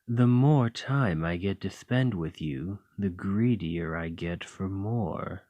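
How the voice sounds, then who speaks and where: A man voicing a doting, teasing male dragon lover in an ASMR roleplay, talking softly and coaxingly. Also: low voice, slow pace, no room sound. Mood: tender. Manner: soft, playful and coaxing.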